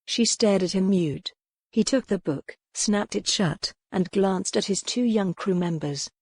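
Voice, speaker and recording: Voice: medium pitch, measured pace, husky, no room sound. Dry, close-recorded audiobook narrator. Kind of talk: scripted dramatic narration of a historical romance novel.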